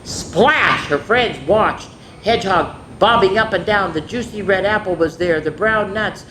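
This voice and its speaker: A woman's voice: unhurried, a bit bright. A woman hosting a children's story time, reading a picture book expressively, drawing out words and adding casual asides. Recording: echoey room with faint rumbling noise.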